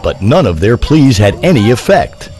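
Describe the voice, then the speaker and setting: Very animated male storyteller. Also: on the low side, moderate pace, faint music behind. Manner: theatrical, wordy storytelling. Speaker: narrator. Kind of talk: scripted audio drama narration.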